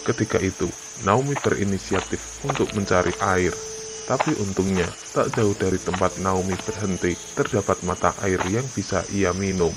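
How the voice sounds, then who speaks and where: A man's voice: low-pitched, normal speed, heavy background noise. A man narrating a scripted horror story.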